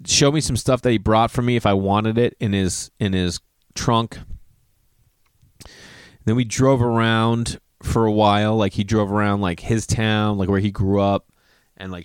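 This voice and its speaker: A man's voice: fairly low pitch, smooth, no room sound, studio quality. A man in his forties telling a rambling personal story, often losing his thread and correcting himself. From Massachusetts. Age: 40s. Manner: digressive, self-correcting, swears casually.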